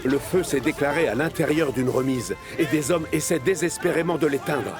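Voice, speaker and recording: A man's voice: a little high, normal speed. A man narrating a scripted children's story as the storyteller of a cartoon. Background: music.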